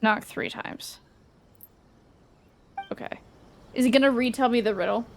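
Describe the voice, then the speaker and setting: A woman's voice: fairly high pitch, measured pace, creaky, slight background noise. A woman game streamer giving casual commentary, thinking aloud in an informal way. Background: faint game music.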